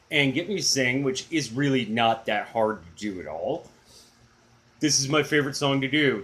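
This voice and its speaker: Middle-aged guy w a big booming baritone, rambly and excited about music, jokes on himself. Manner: rambling, enthusiastic, self-deprecating. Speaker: music channel host. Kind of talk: casual chatty video blog.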